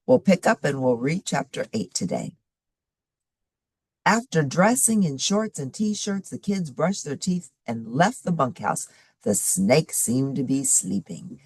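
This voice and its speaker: Very low, gravelly woman reading a children's story. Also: dry recording. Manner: animated storytelling with varied character voices. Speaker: story reader. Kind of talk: expressive read-aloud of a children's chapter book.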